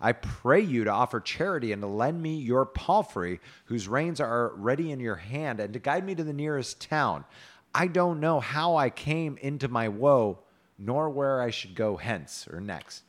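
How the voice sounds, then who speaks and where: A man's voice: mid-range voice, animated, normal speed, close to an everyday voice. A man voicing a miserable medieval knight who laments and pleads in archaic, courtly words. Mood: pleading.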